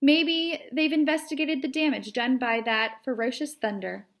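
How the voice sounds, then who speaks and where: A woman's voice: high-pitched, fairly even intonation, normal speed, pitched above the performer's own voice. Woman voicing a breezily stubborn, self-assured female cat. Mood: stubborn, matter-of-fact. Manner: matter-of-fact, breezily dismissive. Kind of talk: children's storybook character read aloud.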